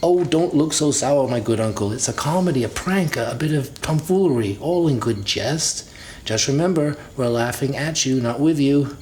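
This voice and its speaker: A man's voice: mid-range voice, animated, normal speed, darkish tone, a little hiss or hum, voice pushed up, lightly acted. A man voicing a moody eighteen-year-old son who is sarcastic, righteous and insistent, in a dramatic audiobook. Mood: mocking. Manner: mocking, insistent.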